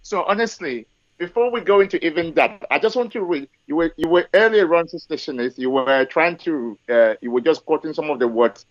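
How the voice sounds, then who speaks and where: Man, forties, from Africa, high voice, repeats points and keeps asking "okay?", dull recording. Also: fairly quick, dry recording. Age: 40s. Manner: emphatic, repetitive, rhetorical checks like okay and yes. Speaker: guest speaker. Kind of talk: live discussion.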